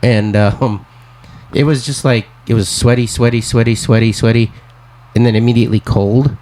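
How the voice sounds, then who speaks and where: A man co-hosting a podcast in casual chat, slightly raspy as he gets over the flu, rambling along in a wry, self-deprecating way. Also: medium pitch, steady tone, moderate pace, a little mellow, poor audio. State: getting over flu, occasional cough. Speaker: podcast co-host.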